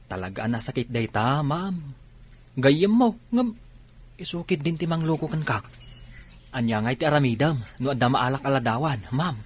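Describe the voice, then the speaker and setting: A man playing a loyal, concerned employee in an Ilocano audio drama, with a husky voice. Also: medium pitch, animated, normal speed. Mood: indignant, doubtful. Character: loyal, concerned male employee reporting to his mistress.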